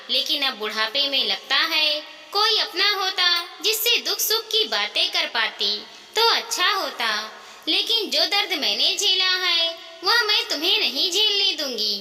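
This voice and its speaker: Caring old widowed mother-in-law, read by a woman storyteller, earnest and persuasive, very bright, echoey. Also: very high voice, exaggerated voice. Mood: earnest, motherly. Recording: echoey, reverberant hall-like sound.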